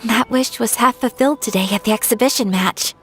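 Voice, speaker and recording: A woman playing a polite, refined young noblewoman swordfighter in a video game, formal and gentle. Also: on the high side, brisk, faint music behind, lightly acted. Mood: thoughtful, sincere.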